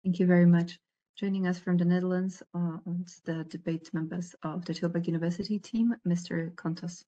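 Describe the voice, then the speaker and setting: A woman speaking as the host of a debate tournament, making formal announcements over a video call with a husky voice. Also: fairly low pitch, flat delivery, brisk, dark tone, lo-fi sound.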